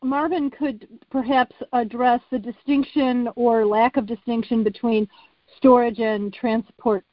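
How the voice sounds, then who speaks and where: A woman moderating a telebriefing, unhurried and pausing on fillers. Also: fairly high pitch, steady tone, dry recording. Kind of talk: moderating a call. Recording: dry, muffled phone line.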